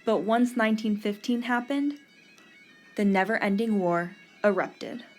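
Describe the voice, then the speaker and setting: A woman's voice: mid-range voice, a little slow, soft background music. A young woman from Texas hosting a podcast, giving an earnest scripted narration. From Texas, USA. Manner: earnest, read-aloud delivery. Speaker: podcast host.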